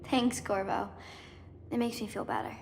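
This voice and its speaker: A woman's voice: medium pitch, expressive, moderate pace, slight background noise, lightly acted. A female actor playing a sweet, trusting, chatty little girl in a video game. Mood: gentle, trusting.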